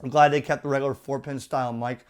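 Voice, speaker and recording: A man's voice: medium pitch, little pitch movement, quick pace, clear, resonant tone. A middle-aged man giving a casual product review in a chatty, opinionated, colloquial way. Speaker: radio reviewer.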